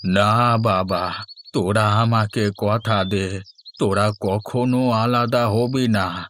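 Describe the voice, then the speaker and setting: A man voicing a weak, loving old village father in a cartoon fairy tale, speaking in slow, halting, pleading phrases. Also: on the low side, fairly even intonation, fairly bright tone, slight background noise. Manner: slow, halting, drawn-out words.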